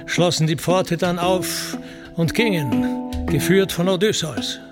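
A man's voice: high voice, takes it slowly, over music. A man narrating epic verse in a solemn, formal, declamatory storytelling manner.

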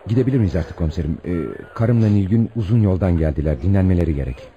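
A man's voice: low voice, fast talker, lo-fi sound, natural voice. An actor playing a protective, kindly family man, firm and reassuring, in a radio drama.

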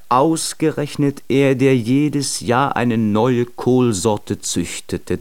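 Deep, slow-paced male storyteller. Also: no room sound. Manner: measured storytelling. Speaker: narrator. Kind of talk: scripted children's story narration.

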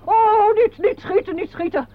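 An actress playing an excitable, fussy receptionist who chatters and exclaims theatrically in a radio play. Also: female voice, extremely high pitch, measured pace. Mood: alarmed, curious. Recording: dull, noisy old radio recording.

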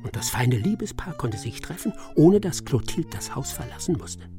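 A man's voice: on the high side, big pitch swings, normal speed, dark tone, faint music behind. An actor playing a shrewd English detective who mutters his deductions to himself in a theatrical radio drama style. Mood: satisfied muttering. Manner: muttering, self-talking, theatrical.

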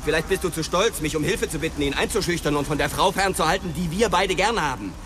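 A man's voice: fairly high pitch, fast talker, close to an everyday voice. An actor playing a sarcastic police detective needling his partner in a German radio drama. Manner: needling, sarcastic, explodes into shouting. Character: sardonic male cop, the narrator's partner.

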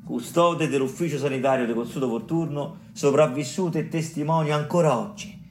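A man's voice: fairly high pitch, moderate pace, lightly acted. An actor playing a garrulous, earthy village caretaker who survived smallpox, telling his tale in Roman dialect, colloquial and digressive, in a radio play. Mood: confiding, emphatic. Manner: colloquial, digressive, emphatic, addressing listeners directly. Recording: clear music behind the voice.

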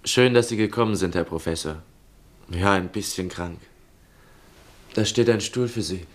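An actor playing an earnest, stubborn youth in a German radio play, polite but insistent and direct. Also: male voice, low-pitched, normal speed, natural voice. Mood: feebly polite. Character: earnest, stubborn teenage boy feigning sickness.

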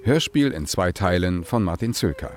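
A woman's voice: extremely low pitch, big pitch swings, moderate pace, darkish tone, a little hiss or hum. A woman announcing radio drama titles in a scripted style.

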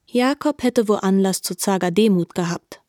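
A woman narrates literary prose for a radio drama. Her voice is of average pitch, recorded dry and close, with no room around it. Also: normal speed, dark tone, dry recording.

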